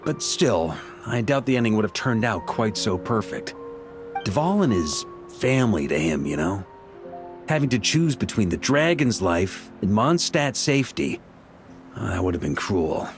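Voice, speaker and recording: An easygoing knight commander. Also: male voice, lowish voice, a little slow. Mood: reflective. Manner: relaxed, reflective, friendly. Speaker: knight back from a long expedition. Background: soft game music.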